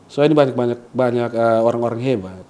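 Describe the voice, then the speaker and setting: A man's voice: mid-range voice, fast talker. A young man from Soe with a Timorese Soe accent, chatting casually and jokingly as an interview guest. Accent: Soe, Timor (Kupang Malay). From Soe, South Central Timor, Indonesia. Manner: relaxed, rambling, joking, regional slang.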